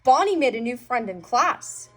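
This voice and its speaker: A woman's voice: fairly high pitch, sing-song, normal speed, fairly bright tone, faint music behind. A woman voicing an earnest, persuasive male toy cowboy in a children's storybook.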